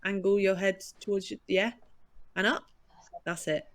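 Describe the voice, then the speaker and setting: A director steers a rehearsal with practical, matter-of-fact stage directions. The voice sits at an ordinary pitch. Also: fairly even intonation, moderate pace.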